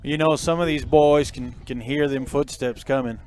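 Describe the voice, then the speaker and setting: A man calling a high school football game outdoors, folksy and joking with the crowd and his partner. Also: steady tone, moderate pace, a little mellow. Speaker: play-by-play announcer.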